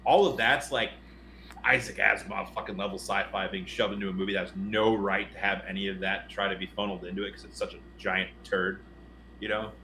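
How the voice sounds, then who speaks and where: A man's voice: low voice, steady tone, brisk, gravelly, sharp tone. A man co-hosting a casual podcast, rambling emphatically and often stopping to rephrase mid-thought. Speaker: podcast co-host. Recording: roomy echo, faint noise.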